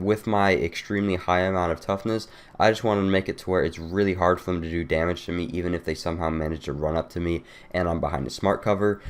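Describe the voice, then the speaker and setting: A man's voice: low-pitched, smooth. A young man with a congested, nasal voice from a cold, casually walking through a game build with loose, rambling talk full of fillers. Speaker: gaming YouTuber. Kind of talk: casual game guide walkthrough.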